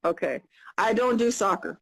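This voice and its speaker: An American woman in her fifties hosting a casual live video chat, upbeat and repeating her questions slowly and plainly. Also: medium pitch, vocal fry, fairly bright tone. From United States. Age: 50s.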